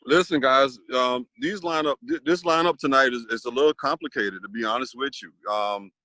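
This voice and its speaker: A man's voice: medium pitch, brisk, sharp tone, no room sound. A man leading a casual panel discussion, thoughtful and rambling with many restarts.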